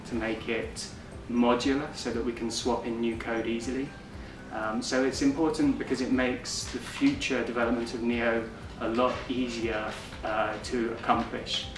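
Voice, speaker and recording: Dev talking in a pretty monotone way, echoey room, mic a bit far, music under it. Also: male voice, mid-range voice. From United Kingdom. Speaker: software developer. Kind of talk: interview explanation. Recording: roomy, distant mic. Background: background music.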